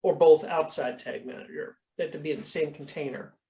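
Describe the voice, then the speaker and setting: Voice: mid-range voice, brisk, warm tone, low-quality recording. Conversational instructor on a video call. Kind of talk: informal question-and-answer coaching.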